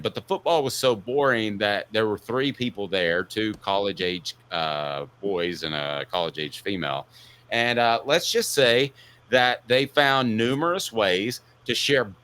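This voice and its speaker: A man in his fifties with a fairly low, bright voice hosts sports talk. His pitch swings widely as he rolls through opinions and casual stories, jokey and mock-indignant. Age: 50s. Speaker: sports talk show host.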